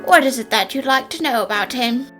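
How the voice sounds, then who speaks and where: A woman's voice: sits high, fairly quick, pushed and bright, soft background music. A woman voicing characters in a fan dub, pleading and flustered.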